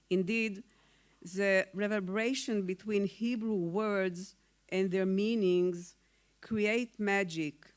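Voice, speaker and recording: A woman whose first language is Hungarian, a poet giving a formal acceptance speech in a slow, measured, deliberate reading. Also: medium pitch, clear, resonant tone. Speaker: award-winning poet.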